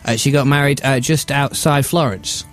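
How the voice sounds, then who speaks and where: A man's voice: mid-range voice, soft background music. A man co-hosting a casual chat who keeps chiming in with short remarks. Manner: chatty, quick to chime in. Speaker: TV co-host.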